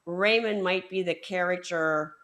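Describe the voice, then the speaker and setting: A woman hosting a book podcast, building her questions slowly with fillers and hesitations. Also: lowish voice, fairly bright tone. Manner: measured, hesitant with fillers while framing questions. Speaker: podcast host. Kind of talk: conversational interview.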